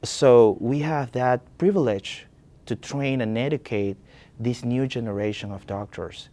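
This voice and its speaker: A man in his thirties from Ecuador, a neurosurgeon, speaking earnestly about his work with frequent pauses. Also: medium pitch, dark tone.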